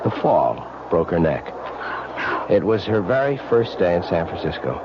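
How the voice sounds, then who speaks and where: An actor playing an earnest police lieutenant in an old-time radio mystery, speaking in an urgent, persistent, confiding way. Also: male voice, low-pitched, sing-song, normal speed. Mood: grave, matter-of-fact. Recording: muffled, noisy old radio recording.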